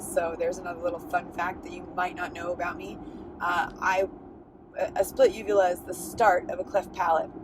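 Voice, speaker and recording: Candid, chatty woman with a lower voice. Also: background noise. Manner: candid and chatty, many fillers and restarts. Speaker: podcast host. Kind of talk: casual solo podcast monologue.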